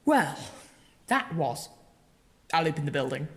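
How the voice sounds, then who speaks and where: A woman's voice: low-pitched, big pitch swings, measured pace, full character voice. A woman playing a composed, approving boss in a fan audio drama. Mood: approving, measured. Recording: long reverb, music and rain behind.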